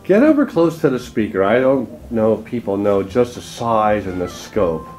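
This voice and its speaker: A man's voice: lowish voice, big pitch swings, moderate pace, darkish tone, faint music behind. A man reporting and interviewing casually on camera at a trade show in a hotel room.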